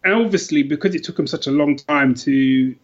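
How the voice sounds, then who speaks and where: A slightly dull, lo-fi recording carries a middle-aged British man with a fairly high voice. He chats casually as a podcast guest, thinking aloud and rephrasing as he goes. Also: a little fast. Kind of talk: casual chat about favourite books.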